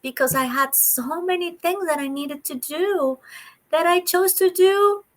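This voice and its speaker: A woman from Colombia with a Colombian Spanish accent, speaking as a psychologist guest in a conversational interview, animated and quick to laugh. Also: very high-pitched. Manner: animated, laughs often, bright delivery.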